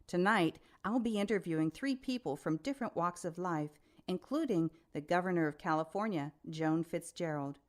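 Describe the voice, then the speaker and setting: Actress doing a composed lady news reporter for a radio play, formal and measured, asks probing stuff. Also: lowish voice, lively intonation, natural voice. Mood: formal, grave. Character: composed female TV news special reporter and interviewer.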